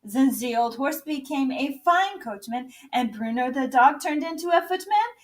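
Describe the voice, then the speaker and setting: A woman's voice: sits high, lively intonation, moderate pace, far from the mic. A woman teacher reading a storybook aloud very expressively, recorded a little away from the mic.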